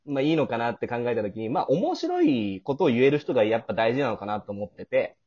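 A man's voice: mid-range voice, sing-song, fast, a little mellow, breathy edge. A man hosting a casual backstage chat in a chatty, explanatory manner, as a producer talking things through. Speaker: host and producer of an audio drama. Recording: slightly muffled, lo-fi voice call.

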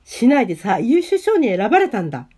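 A woman's voice: a little high, very animated, a little fast, dark tone, husky. A woman playing an easygoing, oblivious, kindly male schoolteacher in an amateur radio drama, with casual masculine speech. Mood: matter-of-fact, kind.

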